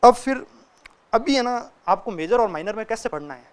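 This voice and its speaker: A man's voice: high voice, animated, moderate pace, smooth. A young man, a student, giving casual, direct advice to other students and often checking in with them as he talks. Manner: chatty and direct, keeps checking in with listeners. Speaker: student sharing exam tips.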